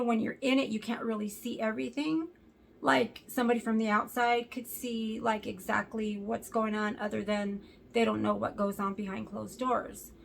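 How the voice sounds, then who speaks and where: Woman, young adult, sore throat, raspy, gravelly, fairly high voice, vlog. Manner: earnest, hesitant, many fillers. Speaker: vlogger. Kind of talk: casual talk to camera.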